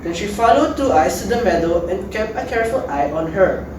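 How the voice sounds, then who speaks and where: A woman's voice: mid-range voice, animated, fairly quick. Female readers theater narrator: mid-range voice, heavy echo, noisy distant recording. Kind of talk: scripted storytelling, readers theater. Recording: very echoey room, distant, noisy.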